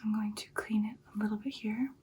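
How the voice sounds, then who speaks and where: A woman playing an affectionate, caring vampire girlfriend in a whispered ASMR roleplay, speaking softly and reassuringly, slightly off the mic. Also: mid-range voice, steady tone, a little fast, distant mic, slight background noise, close to an everyday voice. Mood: careful, reassuring. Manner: soft, soothing, reassuring personal attention.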